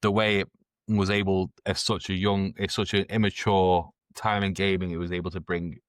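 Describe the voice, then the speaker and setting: A man's voice: low voice, flat delivery, normal speed, no room sound, clean studio sound. A man co-hosting a podcast, talking casually and earnestly, giving strong opinions. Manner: earnest, opinionated, restarts often. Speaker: podcast co-host. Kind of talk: casual chat.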